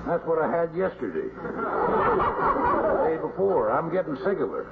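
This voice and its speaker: Dim lazy son, very noisy tape. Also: male voice, a little high, wildly swooping pitch, a little slow, vocal fry, exaggerated voice. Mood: sleepy, grumbling. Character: lazy, dim-witted young son Jack, hungry and grumbling. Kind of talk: 1950s radio comedy sketch. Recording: very noisy, tinny old 1950s radio tape.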